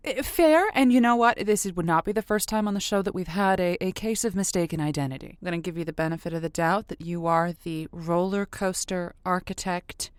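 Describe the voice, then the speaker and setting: Casting: actress for an upbeat woman radio host, lowish voice, very animated, playful, close dry studio sound. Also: a little fast, hardly any pauses, clean tone, no room sound, natural voice. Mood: surprised. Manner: chatty, encouraging, playful. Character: upbeat, encouraging female matchmaking radio host. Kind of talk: radio host banter in audio comedy.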